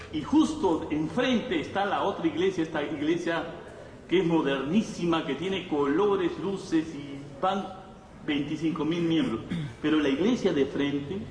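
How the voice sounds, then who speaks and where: Echoey, high-voiced Latin American preacher man. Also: a little mellow. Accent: Latin American Spanish. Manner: emphatic, repeats phrases, rhetorical questions. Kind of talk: sermon, conversational storytelling to a congregation. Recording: echoey hall, distant mic, faint noise.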